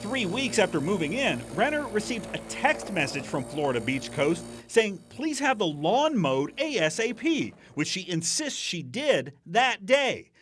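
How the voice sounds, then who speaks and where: A male investigative reporter narrates a TV news story. His voice sits high for a man and swings widely in pitch, giving the report an animated delivery. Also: unhurried, few pauses.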